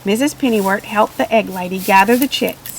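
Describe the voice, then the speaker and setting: A woman's voice: medium pitch, brisk, noisy background. A woman narrating a children's storybook expressively. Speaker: storybook reader.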